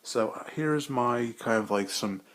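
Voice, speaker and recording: A man's voice: mid-range voice, unhurried, fairly bright tone. A man rambling casually and enthusiastically, with a hesitant, repetitive way of talking, like a hobby vlogger.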